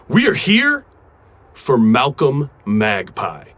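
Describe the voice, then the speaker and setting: Earnest, echoing mutant rebel leader. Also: male voice, medium pitch, wildly swooping pitch, slow pace, fairly bright tone, exaggerated voice. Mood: rousing, defiant. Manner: solemn, rousing, emphatic. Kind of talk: animated audio drama acting. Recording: roomy, faint background noise.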